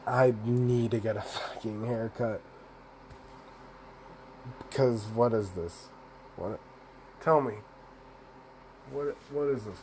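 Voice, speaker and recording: A young man with a deep voice, rambling through a solo vlog, self-deprecating and swearing casually. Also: slow pace, pauses often, darkish tone, slight background noise, low-quality recording. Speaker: podcaster and vlogger.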